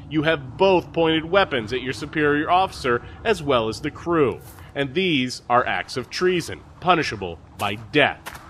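Casting: male actor as seasoned space captain, stern and sardonic, forceful bright voice, faint noise behind, sci-fi audio drama. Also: medium pitch, lightly acted. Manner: mocking, folksy, commanding.

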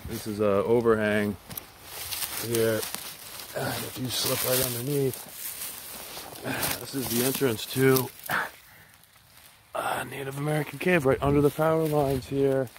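A man narrating casually as he explores rocky woods, pointing things out with excitement while scrambling over rocks on a handheld camera. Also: mid-range voice, unhurried, pauses often. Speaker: vlogger exploring outdoors. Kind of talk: casual handheld walking commentary. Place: outdoors in rocky woodland. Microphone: handheld action camera. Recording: outdoor camera audio with clear wind and rustling.

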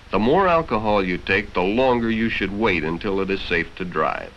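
Deep-voiced American man, stern vintage film narrator. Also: fairly bright tone. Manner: stern, lecturing, condescending. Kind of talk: scripted educational film narration, 1960s. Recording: dull vintage film soundtrack with music.

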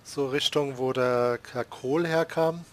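A man's voice: mid-range voice, fairly even intonation, slow. Chatty man with a Palatinate accent. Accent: Palatinate German. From Palatinate, Germany. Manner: chatty, laughs often, self-mocking. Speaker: let's play host. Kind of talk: casual gaming chat.

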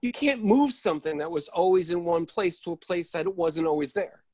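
A man's voice: high-pitched, animated, a little fast, darkish tone, no echo, studio quality. An actor playing a fussy, flustered man unsettled by change, emphatic and stammering in a play reading. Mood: indignant, incredulous. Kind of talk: script read-through of a one-act play. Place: indoors at home on a video call.

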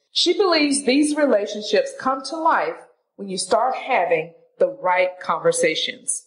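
Animated woman podcast announcer. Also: medium pitch, poor audio. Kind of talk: scripted promotional narration.

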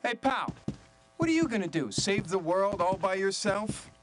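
Very high-pitched, mouthy man. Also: very animated, normal speed, faint music behind, low-quality recording. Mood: mocking. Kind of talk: video game acting.

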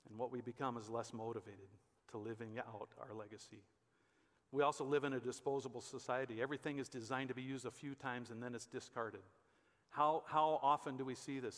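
A man's voice: mid-range voice, a bit bright. An American man in his fifties, a pastor preaching conversationally and emphatically from the stage of a large church auditorium. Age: 50s. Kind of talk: conversational sermon. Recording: echoey hall with long reverb.

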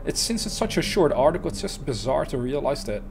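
A man's voice: fairly high pitch, very animated, brisk, gravelly. A man narrating true crime, reading written accounts aloud and adding informal, conversational comments. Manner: conversational, informal asides between read passages.

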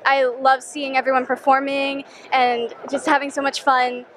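High-voiced young American dancer. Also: female voice, little pitch movement, normal speed, a bit bright, a little hiss or hum. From United States. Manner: chatty, lots of "like". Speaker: dancer interviewee. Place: outdoor festival street. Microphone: handheld reporter mic.

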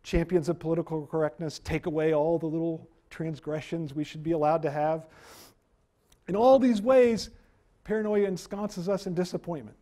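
A middle-aged man giving a university lecture, animated and self-deprecating, losing his voice, recorded by a camera at a distance in a wood-paneled room. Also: a little high, normal speed.

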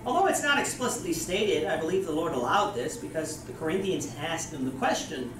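A man's voice: a little high, expressive, a little fast, over music. A young man preaching a sermon in a church meeting room, explaining and gesturing broadly as he speaks. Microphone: far camera. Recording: roomy hall, distant mic.

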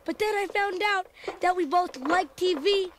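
A performer playing an excitable young boy who tells his adventure as animated, dramatic read-along storybook narration. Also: very high voice, unhurried, slight background noise. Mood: excited storytelling. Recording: loud music under the voice. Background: loud dramatic music.

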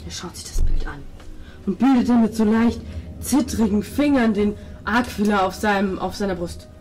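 A woman game master describes scenes for a tabletop role-playing group. She speaks as narrator to the players. Music is clearly audible behind her. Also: mid-range voice, normal speed, a little mellow, far from the mic, poor audio.

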